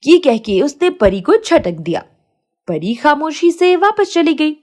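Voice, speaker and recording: Female storyteller for kids' moral fables: slow, scripted read with wide, expressive pitch swings.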